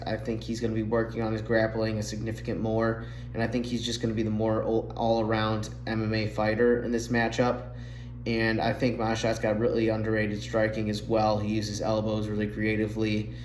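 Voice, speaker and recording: A man's voice: lowish voice, a little mellow, a little hiss or hum. A man hosting a solo MMA picks show, talking casually and sounding nasal after being sick, recorded on a phone mic. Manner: chatty, fillers, slightly rambling analysis. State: nasal from recent illness. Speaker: MMA podcast host making fight picks. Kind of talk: casual solo fight breakdown. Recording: echoey room on phone mic.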